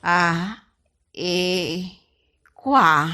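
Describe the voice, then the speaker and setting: A woman's voice: on the low side, drawn-out words, bright, forceful tone, heavily acted. A woman playing a scheming, boastful, whiny wife in an Ilocano radio comedy drama, with an Ilocano accent and a theatrical delivery. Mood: nervous, evasive. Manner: theatrical, whiny, stammers evasively when cornered. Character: scheming, boastful wife who spends borrowed money on appliances.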